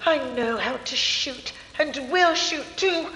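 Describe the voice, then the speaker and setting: A woman's voice: high voice, takes it slowly, bright, forceful tone, heavily acted, low-quality recording. A woman playing an earnest, polite young lady in an old-fashioned 1930s style radio drama. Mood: alarmed, defiant. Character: nervous, polite young lady, reenacting her defiant ancestress.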